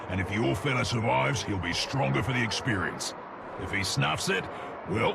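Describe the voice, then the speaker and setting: An actor voicing a boisterous, bloodthirsty orc for a video game, gravelly, with a British working-class accent and chummy slangy talk. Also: male voice, fairly low pitch, exaggerated voice. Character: boisterous, bloodthirsty orc who loves drink and pit fights. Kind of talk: video game character acting. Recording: loud background noise.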